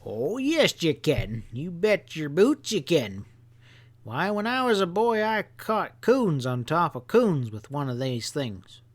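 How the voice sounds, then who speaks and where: A man's voice: fairly high pitch, big pitch swings, takes it slowly, pitched above the performer's own voice, full character voice. A man voicing a mischievous, folksy old country grandfather who explains things with earnest emphasis in an audiobook story. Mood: boastful, insistent. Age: older.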